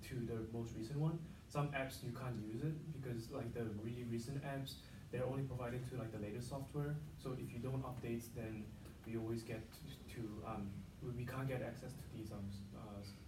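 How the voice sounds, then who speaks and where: A man talking in a focus group, hesitant and full of ums and restarts. His voice sits at a middle pitch and is picked up from a distance in an echoey room with a clear hum of ambience. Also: fairly even intonation, fairly quick. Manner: hesitant, many fillers and restarts. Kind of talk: casual discussion.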